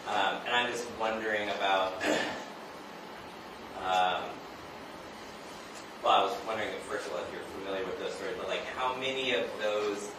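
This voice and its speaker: A man's voice: lowish voice, moderate pace, pauses often. Echoey, far-off man from Hawaii, casually rambling. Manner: rambling, conversational, frequent "like" and "right?". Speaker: audience member, a teacher, asking a question. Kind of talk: casual anecdotal question. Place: audience at a live event. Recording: distant, very echoey, noisy room recording.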